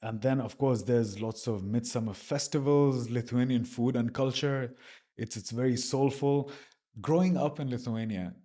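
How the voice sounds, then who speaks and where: A man's voice: medium pitch, normal speed, dark tone. A man hosting a podcast interview, reading a scripted intro and then asking casual questions with fillers. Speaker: podcast host.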